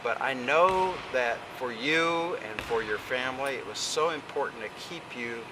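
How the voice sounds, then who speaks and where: Man, American, sports radio interviewer, medium-pitched voice, halting drawn-out delivery, clear background noise of a basketball fieldhouse. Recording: clear ambient noise of a gym.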